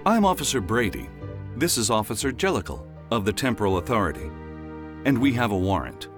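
A man's voice: lowish voice, very animated, brisk, over music. A man playing a formal, by-the-book time-police officer in an audio drama, with a businesslike official manner. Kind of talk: full-cast audio drama acting.

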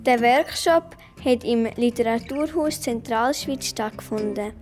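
Girl, 10 to 12, high voice, slow scripted read over faint music. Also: darkish tone. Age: child, 10 to 12. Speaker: young workshop participant reading own text. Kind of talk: scripted reading.

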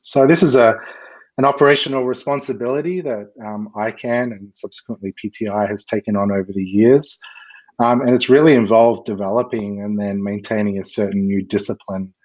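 Low-voiced man explaining at measured length. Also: darkish tone, poor audio. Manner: measured, with frequent um and uh fillers. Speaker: guest expert. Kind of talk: technical explanation in an interview.

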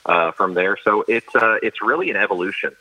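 A man with a carrying baritone voice, a professional auctioneer giving earnest, detailed interview answers with frequent restarts. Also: a little fast, sharp tone, low-quality recording. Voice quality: baritone voice that carries. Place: studio.

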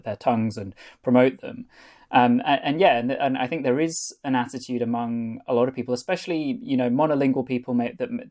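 A man from North Wales explains himself as a guest on an interview show. He talks earnestly at an ordinary pitch. His delivery is loose, full of restarts and filler words. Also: darkish tone. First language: Welsh. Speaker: author and guest. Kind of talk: podcast interview.

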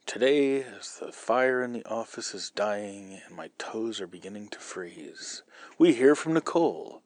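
A man's voice: lowish voice, expressive, unhurried, darkish tone, no room sound. A man hosting a podcast, explaining writing craft in an informal, colloquial way. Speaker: podcast host and novelist. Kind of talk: casual writing advice podcast.

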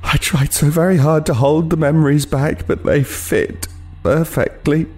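An actor playing a haunted, remorseful homeless killer, narrating a horror audio drama gravely and with anguish. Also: male voice, a little high, measured pace, darkish tone. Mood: haunted dread.

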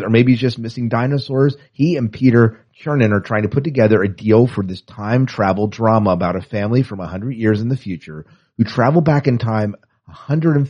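A man's voice: lowish voice, mellow, dull audio. A man co-hosting a podcast in casual, opinionated chat with wry asides, reading and commenting on news. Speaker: podcast co-host.

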